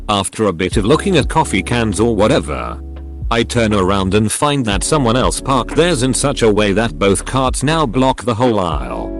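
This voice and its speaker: Low-voiced man reading over music. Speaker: narrator reading online posts. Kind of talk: reading forum posts aloud. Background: background music.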